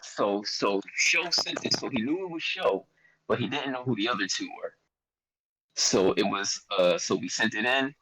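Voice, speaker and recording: A man's voice: on the low side, rough recording. A young man with a Philadelphia accent telling a story in a casual chat, loose and slangy, often quoting himself. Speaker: guest artist.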